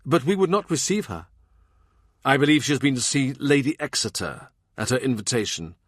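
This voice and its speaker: A man voicing a gentle, patient and dutiful grown daughter in a historical audiobook. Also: mid-range voice, animated, brisk, dry recording, clean studio sound, natural voice. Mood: matter-of-fact.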